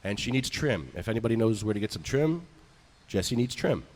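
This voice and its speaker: A man's voice: on the low side, expressive, a little fast, a little mellow. A man co-hosting a podcast, chatting casually and reading out ads. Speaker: podcast co-host. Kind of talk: casual podcast chat and live ad read.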